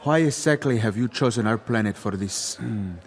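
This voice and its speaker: An actor playing a formal, probing Argentine delegate who speaks hesitantly in a radio drama. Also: male voice, fairly low pitch, normal speed, close to an everyday voice.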